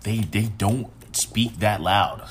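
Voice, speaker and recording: A man's voice: fairly low pitch, slow pace, slight background noise. A man with an American accent chatting casually over tequila shots, playful, teasing and slangy. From south side of Atlanta, United States. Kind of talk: casual chat over drinks. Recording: music behind the voice. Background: music.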